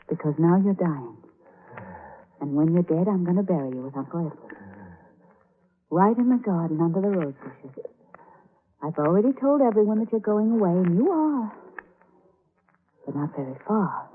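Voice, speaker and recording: An actress playing a sweetly menacing, unhinged murderous wife in a melodramatic 1940s radio thriller, cooing endearments with intense emotion. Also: female voice, lowish voice, animated, normal speed, pauses often, lightly acted. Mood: wounded, vengeful. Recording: old tinny radio recording, noisy.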